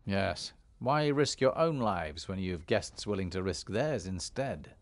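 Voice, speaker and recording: Expressive, earnest game hero. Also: male voice, low-pitched, no echo. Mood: dry. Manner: measured, earnest. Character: calm, firm male heroic warrior and peacemaker. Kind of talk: fantasy game acting.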